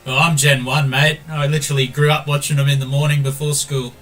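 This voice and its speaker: A man's voice: fairly high pitch, flat delivery, quick pace, fairly bright tone, faint music behind. An Australian man streaming a game, chatting casually and calling everyone mate while he reads viewer messages aloud. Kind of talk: casual gameplay stream chat.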